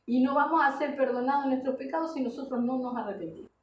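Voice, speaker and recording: A woman delivers a sermon in a high-pitched voice. She exhorts earnestly, putting questions to her listeners and checking in with short tags. The room is very echoey, and she sounds some way from the microphone. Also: quick pace. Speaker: preacher. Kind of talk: sermon to a congregation. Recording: echoey room, distant mic, background noise.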